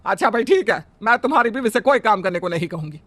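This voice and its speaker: A bossy middle-aged mother-in-law, voiced by a man, nagging in a high, bright voice. She scolds and complains constantly in a family drama. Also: expressive, quick pace, no room sound, faint music behind. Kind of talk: Hindi family drama voice acting.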